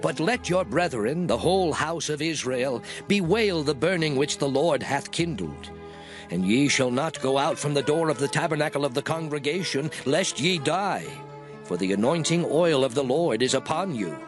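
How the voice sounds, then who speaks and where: An actor playing a solemn, commanding Hebrew prophet in a dramatized scripture reading, declaiming with emphasis. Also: male voice, fairly high pitch, lively intonation, normal speed, natural voice. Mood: stern, urgent. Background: music.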